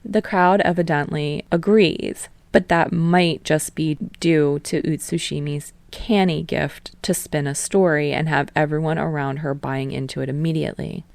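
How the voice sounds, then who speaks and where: A woman narrates fan fiction at a steady storytelling pace, her voice sitting low with a gravelly fry. The recording is dry and close, with no room around it. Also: moderate pace, few pauses, dry recording. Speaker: podfic reader.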